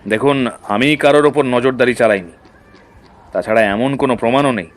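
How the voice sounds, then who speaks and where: A man's voice: mid-range voice, brisk, natural voice. Stern policeman, acted by a man. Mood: indignant. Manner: officious, confrontational. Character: stern, indignant male police officer, easily affronted. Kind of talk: audio drama acting. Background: background music.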